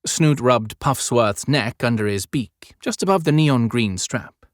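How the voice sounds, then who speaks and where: A man's voice: medium pitch, animated, measured pace, dry recording, studio quality. A man narrating scripted fiction as an audiobook storyteller.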